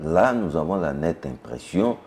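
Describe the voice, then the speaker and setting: A man's voice: very deep voice, very animated, measured pace, a little mellow. A man hosting a political interview, pushing back with pointed, skeptical questions and frequent interruptions. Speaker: TV show host.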